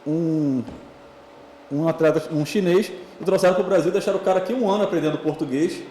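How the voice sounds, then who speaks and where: Um homem convidado de um podcast, atleta de tiro com arco, contando histórias em conversa descontraída e cheia de vícios de linguagem. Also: male voice, fairly high pitch, dark tone, reverberant, slight background noise, low-quality recording. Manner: fast, chatty, storytelling with filler words. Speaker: podcast guest, archery athlete. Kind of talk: casual interview chat.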